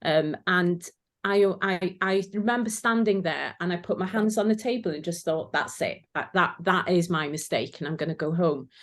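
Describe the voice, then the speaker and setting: A woman's voice: fairly low pitch, moderate pace. Chatty Welsh-speaking woman. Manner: chatty, anecdotal, many fillers. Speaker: guest, former sewing contestant. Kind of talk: casual chat.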